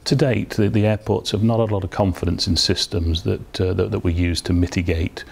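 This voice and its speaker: Male company spokesman, very low pitch, explanatory interview delivery. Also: steady tone, fairly quick, gravelly, darkish tone, a little hiss or hum.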